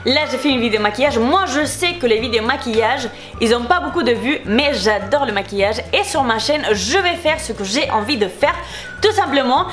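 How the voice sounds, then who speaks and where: A young woman from Spain speaks French with a Spanish accent, talking fast and heated in a casual beauty vlog as she answers nasty comments. Her voice sits high, and she circles back over the same points again and again. Faint music plays underneath. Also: lively intonation. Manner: heated, irritated, repetitive. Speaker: beauty YouTuber.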